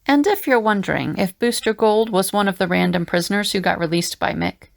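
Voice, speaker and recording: A woman playing a composed, wry adventurer who narrates an audio drama in measured storytelling. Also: mid-range voice, gravelly, dry recording, studio quality, lightly acted.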